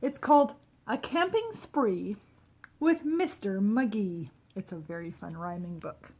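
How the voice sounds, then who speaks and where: A woman reading a rhyming children's picture book aloud, expressively, with dramatic emphasis and drawn-out key words. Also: medium pitch, expressive, darkish tone, slight background noise. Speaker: storybook reader.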